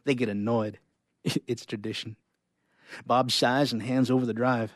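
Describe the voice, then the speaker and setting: A man performing a live reading of short stories, with expressive delivery and casual asides. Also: medium pitch, a little slow, no echo, studio quality.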